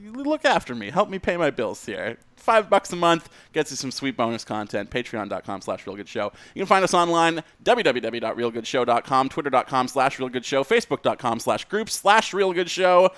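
Male podcast host, smooth clear tone, very animated, loose and jokey casual banter. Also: medium pitch, dry recording.

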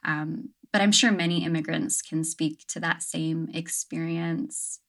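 A woman's voice: deep voice, fairly even intonation, measured pace, fairly bright tone. A young adult woman talking casually as an interview guest, reflective and thoughtful, with frequent "um" and "like". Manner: reflective, many fillers like "um" and "like". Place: her home on an island.

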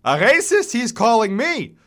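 An ancient, complaining New Yorker in a dramatized short story. The voice is high, reedy and chirpy, with a Yiddish-inflected accent. It jumps around in pitch with vigorous, snappy diction. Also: male voice, very animated, full character voice. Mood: indignant, needling. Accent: Yiddish-inflected New York. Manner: quick, vigorous, sharp diction.

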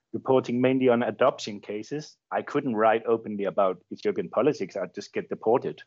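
A man's voice: fairly low pitch, darkish tone, dry recording, dull audio. A middle-aged Danish-born journalist, a man, giving a thoughtful interview and repeating words as he searches for the right phrasing. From Denmark. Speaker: journalist guest. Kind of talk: interview conversation.

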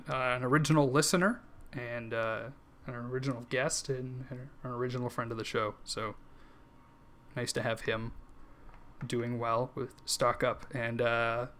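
A man co-hosting a sports podcast, chatting casually with fillers as he wraps up the episode. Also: medium pitch. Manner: relaxed, jokey sign-off with fillers. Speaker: podcast co-host.

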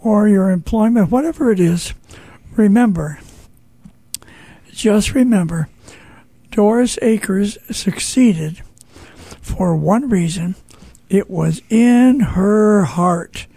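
A man's voice: high-pitched, slow pace, gravelly, pauses often, warm tone, slightly rough, no room sound. A man hosting a radio show in a folksy, conversational way, exclaiming informally and speaking straight to his listeners.

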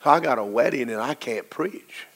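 A hoarse, low-voiced middle-aged man preaches from the pulpit, leaning hard on key words and stretching them out slowly. His pitch sits in an ordinary male middle range, but the hoarseness roughens every line. He swings between rising emphasis and quieter asides. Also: expressive, rough recording. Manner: emphatic preaching with slow drawn-out stresses. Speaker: pastor. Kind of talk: sermon.

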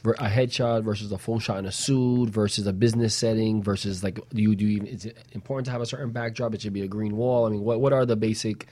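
A man's voice: fairly low pitch, fairly even intonation, fast talker, hardly any pauses, warm tone. A man co-hosting a talk show, asking questions in a casual, conversational way.

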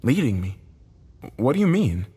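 A man's voice: mid-range voice, sing-song, moderate pace, dark tone, breathy edge, voice pushed up. A man playing a distraught, confrontational husband and father in a dramatic story, insistent and questioning. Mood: bewildered, questioning.

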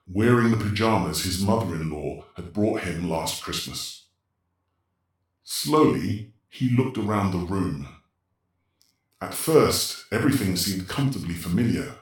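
An actor playing a grave storyteller, delivering scripted dramatic narration for a horror audio drama. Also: male voice, deep voice. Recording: very echoey, distant, music behind.

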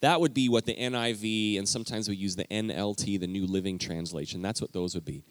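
A man with a low voice gives a teaching sermon in a church, speaking straight to the people in the pews. His delivery is lively and emphatic, and the sound is close and dry. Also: fairly quick, no room sound. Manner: lively, emphatic, talks directly to the congregation. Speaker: pastor. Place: church sanctuary.

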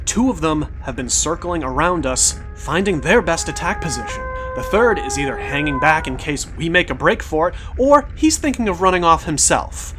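An actor playing a gruff, exasperated male security chief in an audio drama. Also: a little high, lively intonation, moderate pace, hardly any pauses, over music, close to an everyday voice. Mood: weary, blunt. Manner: exasperated, blunt.

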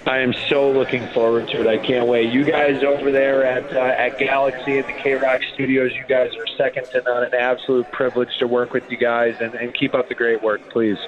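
A man's voice: mid-range voice, flat delivery, fairly quick, hardly any pauses, a crowd in the background. A man talking sports as a radio play-by-play guest, conversational and wordy, with a folksy, self-deprecating way. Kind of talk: conversational sports interview commentary. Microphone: phone. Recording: muffled, band-limited phone line.

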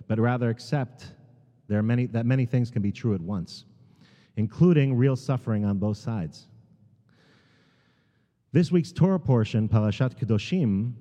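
Halting American man in an echoing sanctuary. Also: on the low side, dark tone. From United States. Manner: halting, many ums and restarts, earnest. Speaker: guest speaker at a synagogue. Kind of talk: sermon. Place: large synagogue sanctuary. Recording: long hall reverb, dull sound.

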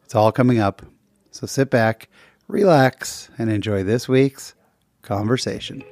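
A man's voice: medium pitch, measured pace, darkish tone. A man hosting a podcast, introducing the episode with enthusiastic, effusive praise and then interviewing a guest. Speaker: podcast host.

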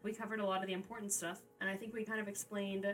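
Bright-voiced animated young woman. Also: medium pitch. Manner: animated, laughs often, quick asides. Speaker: podcast co-host. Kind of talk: casual chat.

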